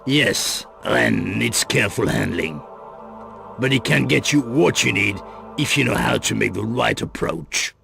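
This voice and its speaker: An actor playing a wary, menacing underworld boss in a video game, guarded and dismissive in manner. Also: male voice, medium pitch, lively intonation, a little slow, creaky, sharp tone, soft background music, full character voice. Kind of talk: video game cut-scene acting.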